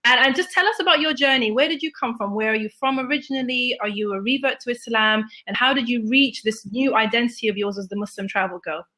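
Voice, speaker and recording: A woman hosting a casual chat, upbeat and playful, giving shout-outs to her guest. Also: on the high side, quick pace, few pauses, poor audio. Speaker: magazine editor hosting a video chat.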